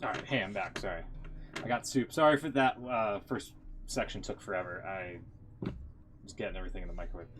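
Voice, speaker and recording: A man's voice: fairly low pitch, brisk. A man chatting casually on a livestream as its host, rambling with playful, profane griping. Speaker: live streamer. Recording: faint background noise.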